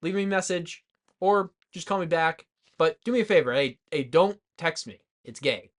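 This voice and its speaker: A man with a somewhat high voice talks as a podcast co-host. His delivery is casual and rambling, with "like" scattered through loosely built sentences. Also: animated, moderate pace. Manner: rambling, peppered with "like". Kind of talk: casual movie chat.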